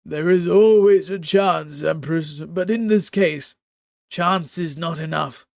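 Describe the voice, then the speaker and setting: A solemn mystic advisor, as a narrator puts him on, slow and grave, his voice raised a little in pitch and volume. The sound is close and dry. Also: gravelly, a little mellow, dry recording.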